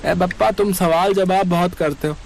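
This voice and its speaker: A man's voice: high voice, steady tone, moderate pace, creaky, slight background noise, low-quality recording. A man voicing an impatient, cheeky young beggar eager to be a groom in a cartoon.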